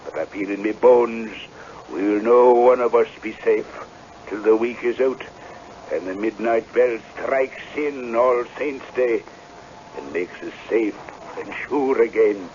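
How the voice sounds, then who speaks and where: An actor plays an old Scotsman in a vintage radio drama, full of superstitious fear. His voice sits at a middle pitch with a Scottish accent. The recording is dull, with some hiss. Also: slow pace, darkish tone, close to an everyday voice. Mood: fearful, grim.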